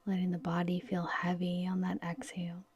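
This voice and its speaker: A woman with a soft, whispery voice gently guiding a yoga nidra relaxation in a soothing, unhurried manner. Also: fairly low pitch, monotone, slightly rough. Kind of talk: guided relaxation meditation.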